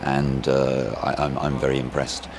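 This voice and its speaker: A low-voiced man conducts an informal interview outdoors. His questions ramble and come out haltingly, over clear background noise from traffic. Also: monotone. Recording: noisy outdoor amateur recording with traffic.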